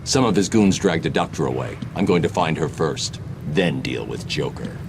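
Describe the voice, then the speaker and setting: A man voicing a grim, determined vigilante hero in a video game, terse and deadpan, with a gravelly voice. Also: a bass voice, moderate pace, noisy background, heavily acted. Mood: resolute.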